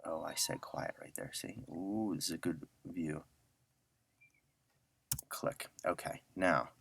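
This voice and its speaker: Very deep-voiced man teaching casually. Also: takes it slowly, vocal fry, fairly bright tone, slight background noise. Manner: informal, thinking aloud. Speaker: audio software instructor. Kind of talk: casual screen-share tutorial.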